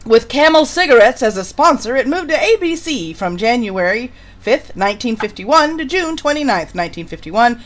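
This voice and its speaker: A woman's voice: on the high side, big pitch swings, moderate pace, few pauses. A woman hosting a show casually, reading out programme introductions. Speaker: host of an old-time radio programme.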